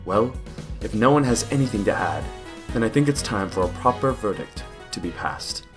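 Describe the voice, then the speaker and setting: A man's voice: lowish voice, expressive, normal speed, over music, natural voice. A man playing an authoritative, formal judge in a courtroom audio drama, speaking in a declamatory way. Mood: solemn.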